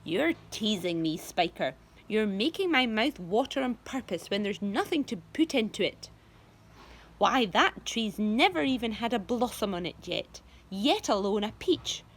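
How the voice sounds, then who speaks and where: A woman voicing a fat, greedy aunt who speaks in a lower, slow, drawling voice. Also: very animated. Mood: scoffing.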